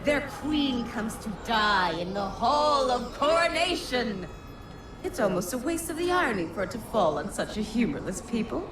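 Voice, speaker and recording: Cruel, seductive villainess, echoing and distant. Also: female voice, fairly high pitch, big pitch swings, normal speed. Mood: gloating, taunting. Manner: theatrical, taunting, menacing. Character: cruel, seductive, mocking corrupted concubine villain. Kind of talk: video game villain acting. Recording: echoing, distant, very noisy game audio.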